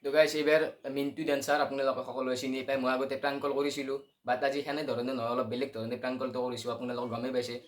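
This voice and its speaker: A man's voice: mid-range voice, level tone, very fast. A man with an Assamese accent chatting casually and directly to his viewers as host of a prank call video. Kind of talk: casual vlog commentary to viewers.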